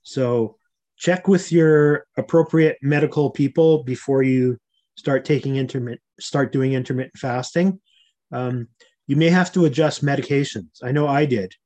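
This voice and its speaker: A man in his early sixties, an interview guest, explaining health matters informally in a measured way. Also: medium pitch, warm tone.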